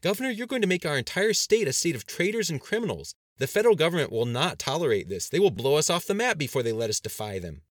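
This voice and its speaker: A man voicing a panicked, scornful male politician in an audiobook, shouting his objections with incredulous mockery. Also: fairly high pitch, sing-song, quick pace, hardly any pauses, no room sound, studio quality, voice pushed up. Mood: near hysterical. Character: panicked, scornful male cabinet member arguing in dread. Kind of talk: dramatized audiobook dialogue.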